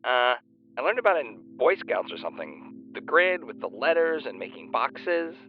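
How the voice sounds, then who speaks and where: A man's voice: mid-range voice, big pitch swings, normal speed, vocal fry, faint music behind. Tinny phone-line man, actor as nervy superfan. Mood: uneasy, dismayed. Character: nervy male superfan and fan fiction writer, indignant. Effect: phone line filter. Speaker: phone call guest. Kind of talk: naturalistic audio drama dialogue.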